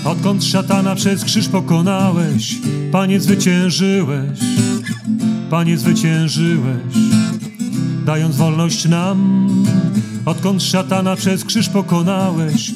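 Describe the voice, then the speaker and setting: A woman singing a slow, prayerful devotional song with acoustic guitar accompaniment. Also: lowish voice, steady tone. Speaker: singer. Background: loud plucked acoustic guitar music.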